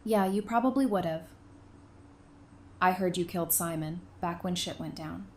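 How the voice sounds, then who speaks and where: A woman's voice: lowish voice, normal speed, a little mellow, slight background noise, lightly acted. A woman playing a guarded, dry-witted therapist in an audio drama, blunt and challenging with a prisoner. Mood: dry, composed.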